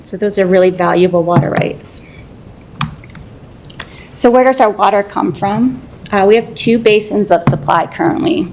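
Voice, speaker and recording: Low-voiced woman explaining water law. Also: a little hiss or hum, rough recording. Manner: steady, explanatory, with fillers. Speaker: water resources manager. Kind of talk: council presentation.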